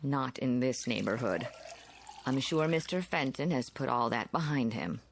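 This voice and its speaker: A kindly American neighbor in a radio play. Her voice is very deep for a woman, firm and reassuring, over clear background noise. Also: lively intonation, moderate pace. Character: kindly, reassuring neighborly small-town housewife. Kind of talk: radio drama acting.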